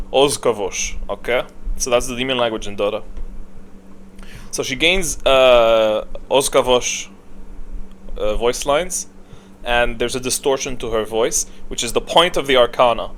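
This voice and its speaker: A man giving casual, unscripted tier list commentary as a gaming host, thinking aloud in a rambling, opinionated way. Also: medium pitch. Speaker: gaming content creator, host. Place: indoors, voice-over on screen recording. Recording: clear steady background noise.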